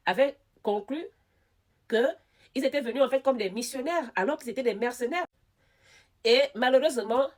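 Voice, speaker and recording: A woman with a head cold giving casual news commentary, talking straight to her viewers and repeating words for emphasis. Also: mid-range voice.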